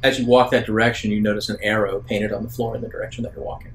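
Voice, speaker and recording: A man narrating an improvised tabletop roleplaying game as game master, in a measured way with hesitant pauses. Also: on the low side, level tone. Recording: roomy echo, faint background noise.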